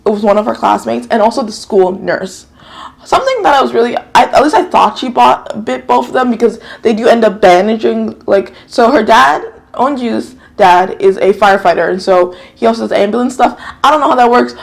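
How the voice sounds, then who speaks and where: A woman with a fairly high voice and a lot of gravelly fry, talking her way through a show review in loose, chatty vlog fashion. She rambles, stops to correct herself, and drops the odd swear. She grew up in New York, and Japanese is her first language. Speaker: YouTube drama reviewer.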